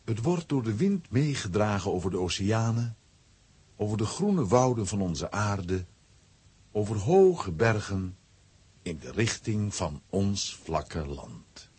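A man with a rough, husky voice narrating a scripted children's story. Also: fairly low pitch, expressive, takes it slowly, a little mellow.

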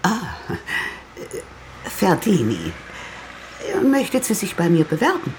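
Radio drama agency boss: haughty, rather low voice, very animated melody, faint background noise. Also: female voice, slow, full character voice. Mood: smug, dismissive. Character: condescending, businesslike female temp agency boss.

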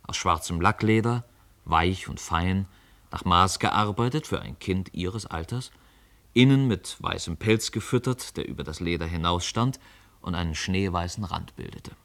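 Male narrator for radio drama: very low voice, measured, articulate literary storytelling. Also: vocal fry, a bit bright.

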